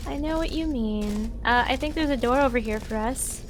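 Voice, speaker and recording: A woman in a casual interview chat while playing a video game, thoughtful and halting with playful asides. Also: a little high. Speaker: interview guest, voice actor. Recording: music playing behind the voice.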